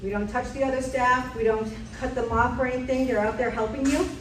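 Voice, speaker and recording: A woman's voice: sits high, fairly even intonation, brisk, noisy background. A woman running a transport staff safety meeting, quizzing the room in a direct way. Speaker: safety trainer. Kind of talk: leading a staff safety quiz. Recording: echoey room from far off.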